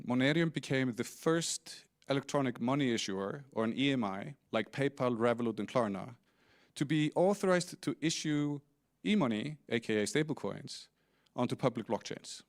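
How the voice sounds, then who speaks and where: A man's voice: mid-range voice, normal speed. A middle-aged man with an Icelandic accent gives a conference presentation as a company founder, explaining things with light humor and small restarts. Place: large indoor conference stage.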